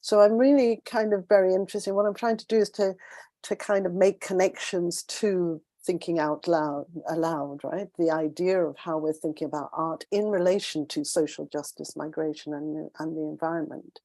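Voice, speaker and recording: A woman with a somewhat low, mellow voice, recorded dry and close. She speaks as a panelist putting a question to the speakers, thinking aloud and rephrasing as she works toward her point. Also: steady tone, normal speed, dry recording. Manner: thoughtful, searching, frequent restarts and rephrasing. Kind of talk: panel discussion.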